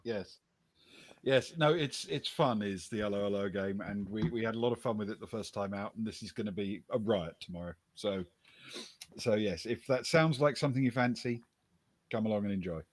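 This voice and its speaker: Measured game master. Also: male voice, on the low side. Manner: measured, halting with small restarts. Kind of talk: storytelling recap and stream announcements.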